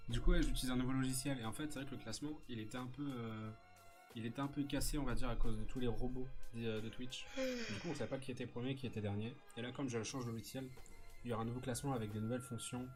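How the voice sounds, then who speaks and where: A man's voice: mid-range voice, quick pace. A man streaming a game, chatting and joking as he explains things to his viewers. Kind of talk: casual gaming stream chat. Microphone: studio microphone. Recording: music behind voice.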